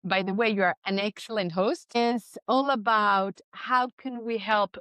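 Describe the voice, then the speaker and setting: A woman's voice: medium pitch, fairly even intonation, measured pace, bright, forceful tone, clear, resonant tone, no echo. A middle-aged woman from Spain, a guest telling her career story with enthusiasm. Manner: enthusiastic, storytelling, non-native English phrasing. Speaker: guest, professional speaker. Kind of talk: conversational interview.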